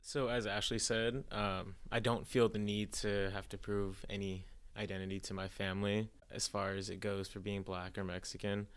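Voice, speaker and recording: A man's voice: on the low side, flat delivery, moderate pace, hardly any pauses, clear, resonant tone. A young man with an American accent, giving a reflective personal account as a podcast guest. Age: young adult.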